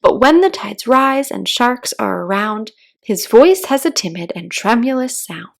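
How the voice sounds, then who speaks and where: A woman's voice: a little high, animated, a little slow, vocal fry. A woman voicing a timid, polite little girl who speaks earnestly, as if reciting, in a children's storybook. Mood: dutiful reciting. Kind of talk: children's storybook read-aloud.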